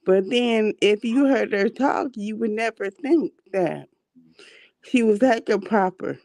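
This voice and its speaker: A woman from California in a casual panel chat, talking in a measured, laid-back way. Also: medium pitch, creaky, darkish tone.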